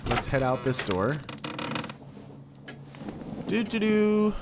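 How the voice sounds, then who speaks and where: Wry, lively man narrating a horror game. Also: fairly high pitch, slow, noisy background. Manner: wry asides while reading game text aloud. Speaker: let's play host. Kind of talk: casual gameplay commentary.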